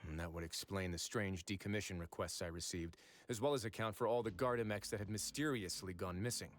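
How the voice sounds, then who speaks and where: A man voicing a composed prison-fortress administrator in a video game, speaking in a measured, even way. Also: low voice, lightly acted. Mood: commanding.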